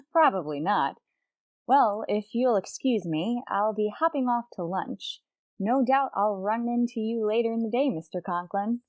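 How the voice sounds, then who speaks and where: An actress playing a wry, quick-witted high school teacher in an old-time radio comedy, arch and polite in manner. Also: female voice, animated, darkish tone, dry recording, lightly acted, low-quality recording. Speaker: lead actress.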